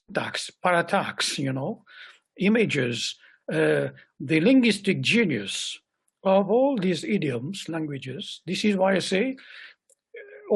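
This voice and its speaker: A man from Mauritius, a poet talking at length on a video call, listing words slowly and with emphasis. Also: on the high side, creaky, husky. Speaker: poet guest. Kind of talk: reflective conversation.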